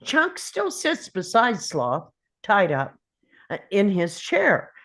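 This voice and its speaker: A woman's voice: medium pitch, huge pitch swings, slow, a bit bright. A woman reading screenplay stage directions as scripted narration at a table read.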